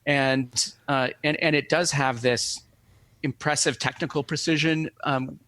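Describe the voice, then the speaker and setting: A man giving thoughtful scholarly interview answers as an academic guest, speaking in a measured, halting way with formal wording. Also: mid-range voice, steady tone, pushed and bright. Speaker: academic guest, media historian.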